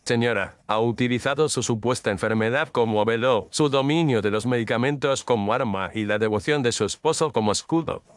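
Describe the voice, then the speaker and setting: A man playing a measured, incisive gentleman detective in an audio drama, speaking formally and deliberately. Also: medium pitch, smooth, no echo, close to an everyday voice. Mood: coldly accusing. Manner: formal, deliberate, coolly accusing. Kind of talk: dramatized audio story acting.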